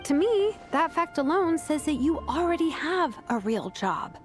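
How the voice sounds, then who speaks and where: A self-assured big-sister character from a video game, with a fairly high voice. She is perceptive and caring, frank yet measured, with faint music in the background. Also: big pitch swings. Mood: persuasive.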